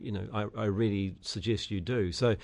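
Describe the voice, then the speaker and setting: A middle-aged man with a New Zealand accent, answering radio interview questions as an election candidate, halting with many fillers and restarts. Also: lowish voice, fairly even intonation, a little mellow.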